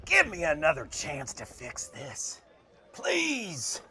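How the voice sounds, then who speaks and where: A man playing a flustered, excitable soul tracker underling in an audio drama, nervous and exclaiming, prone to stammer. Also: a little high, slow, heavily acted. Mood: nervous, pleading. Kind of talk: scripted audio drama acting.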